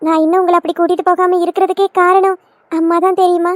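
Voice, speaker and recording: High-voiced gushing young daughter-in-law, acted by a woman. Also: little pitch movement, fast, no room sound, studio quality. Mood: devoted, gushing. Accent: southern Tamil Nadu. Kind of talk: Tamil family skit acting.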